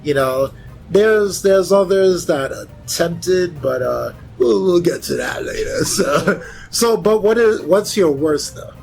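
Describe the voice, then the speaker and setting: A man's voice: high-pitched, animated, slow, vocal fry, a little mellow, soft background music. A man chatting casually as a panelist, with a jokey, interjecting way of talking. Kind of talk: casual chat.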